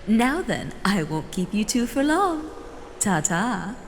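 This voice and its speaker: A woman playing a doting, possessive female elder in an audio drama, with a drawn-out, sweetly condescending, theatrical delivery. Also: mid-range voice, big pitch swings. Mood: pleased, grand. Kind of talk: scripted fan audio drama acting. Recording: reverberant hall, faint noise.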